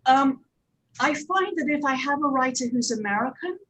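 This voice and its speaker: A woman with a slightly high voice talks on a panel as a producer. She explains practical matters step by step, pausing and hesitating as she goes. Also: lively intonation, fairly bright tone, poor audio. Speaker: audio drama producer and director, panelist.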